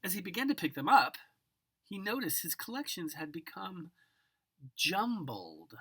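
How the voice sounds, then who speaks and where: A woman giving an expressive children's picture book read-aloud, savoring words dramatically and speaking directly to the listener. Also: fairly low pitch, slightly rough. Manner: savors single words with drawn-out, dramatic delivery and occasional whispers. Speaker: storybook reader.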